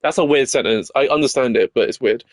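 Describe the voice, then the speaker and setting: A man's voice: medium pitch, fast talker, slight background noise. A man chatting casually on a live game stream as he reads dialogue aloud. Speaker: streamer reading all the game characters. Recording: game music behind the voice.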